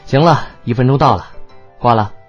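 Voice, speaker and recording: A performer voicing a laid-back, teasing young man in love, casual and unhurried. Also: mid-range voice, breathy edge, muffled recording, faint music behind, lightly acted. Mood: frank, resolved.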